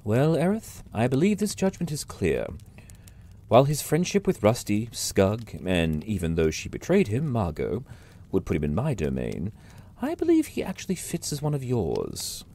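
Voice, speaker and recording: A dour, creepy judge of the dead. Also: male voice, lowish voice, huge pitch swings, moderate pace, warm tone. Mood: solemn. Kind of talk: audio drama acting.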